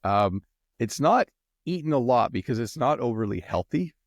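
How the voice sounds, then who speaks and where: A middle-aged Canadian man with a Canadian accent, chatting as an interview guest in a relaxed, rambling way with many ums and restarts. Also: medium pitch, moderate pace, no echo, studio quality. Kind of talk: casual interview chat.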